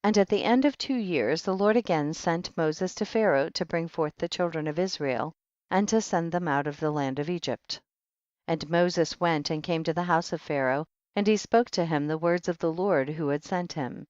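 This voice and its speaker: A man giving a solemn, measured audiobook narration of old scripture in archaic wording. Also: fairly high pitch, darkish tone, clean tone, no room sound, lo-fi sound. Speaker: audiobook reader.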